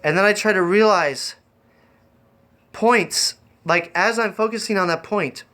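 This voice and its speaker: A man's voice: high voice, a little slow, bright, forceful tone. A man hosting a casual improvised livestream, rambling and easily distracted, often repeating his phrases as he goes. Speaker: live-streaming host.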